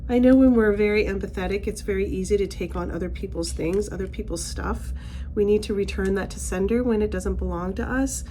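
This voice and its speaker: A middle-aged woman talks through an oracle card reading in a loose, chatty way, full of fillers and restarts. Her voice is a little raspy after a recent bout of laryngitis. A faint hum of room noise sits behind her. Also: mid-range voice, a little fast, dark tone. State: slightly raspy, recovering from laryngitis. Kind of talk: casual spiritual card reading.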